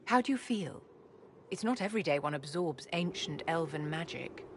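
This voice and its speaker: A gracious noblewoman with a lowish, refined voice. She speaks formally, with cool poise. Faint ambience sits behind her. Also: animated, moderate pace, slight background noise. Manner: refined and elegant.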